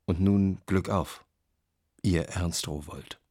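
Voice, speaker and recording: An actor playing a genial, effusive German publisher of the 1930s in a radio play, reading letters with chatty, old-fashioned courtesy. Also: male voice, deep voice, steady tone, takes it slowly, darkish tone, slightly rough, heavily acted. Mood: genial, eager.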